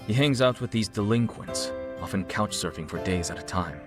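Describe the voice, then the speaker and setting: A man's voice: lowish voice, normal speed, over music, natural voice. A man acting a polite, anxious middle-aged father and husband in a dubbed video game cutscene, formal and earnest in his speech. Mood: pleading. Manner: polite, formal, earnest, exclaims when upset.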